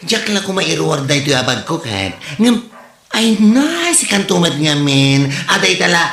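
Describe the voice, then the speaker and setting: An Ilocano-accented performer playing a haughty, mocking neighbor in a comedy drama, taunting with drawn-out words and questioning hums. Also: mid-range voice, big pitch swings, a bit bright. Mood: taunting, scornful. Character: haughty, mocking neighbor showing off an expensive designer bag. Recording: roomy, echoing sound.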